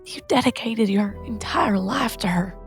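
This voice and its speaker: A woman's voice: medium pitch, normal speed, creaky, faint music behind, lightly acted. Woman playing an angry, disillusioned young adventurer. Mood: angry, accusing. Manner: confrontational, terse.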